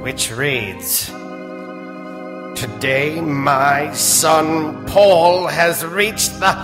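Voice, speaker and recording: A man plays an eerie, courteous host of strange tales in a 1940s radio drama style. He is theatrical and coaxing, speaking extremely slowly in a slightly high voice. The hall rings around him and music plays underneath. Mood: grave storytelling. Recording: echoey stage hall, music behind.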